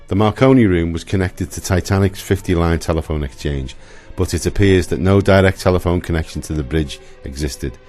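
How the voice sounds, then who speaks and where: A man's voice: sits low, a little fast, few pauses, darkish tone, faint music behind. A man reading scripted historical narration for a radio play.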